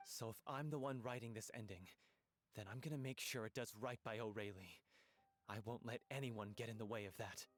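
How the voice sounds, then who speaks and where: An actor playing an earnest, lovelorn young man of a theatre troupe in a video game, heartfelt and measured with weighty pauses. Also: lowish voice, natural voice. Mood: determined. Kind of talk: dramatic video game voice acting.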